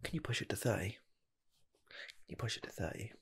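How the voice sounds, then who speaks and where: A man playing an earnest rocket scientist applying for a fast food job, in a soft, half-whispered ASMR roleplay voice that rambles and restarts. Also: lowish voice, expressive, slightly rough, heavily acted. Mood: torn. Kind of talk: ASMR personal attention roleplay.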